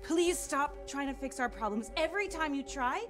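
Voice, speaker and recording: A defensive, fed-up sister in a game cutscene. Her high voice swings widely as she speaks firmly, with music clearly behind her. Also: expressive, moderate pace. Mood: exasperated. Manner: firm, exasperated. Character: fed-up, defensive sister and mother, grown woman. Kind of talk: video game voice acting.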